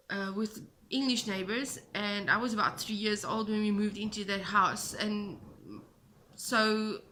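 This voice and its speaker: A woman's voice: a little high, bright, forceful tone, a little hiss or hum. A South African woman whose first language is Afrikaans, hosting a knitting podcast in a rambling, self-deprecating chat from home. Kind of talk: casual chat to camera. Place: home, indoors. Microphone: camera at a short distance.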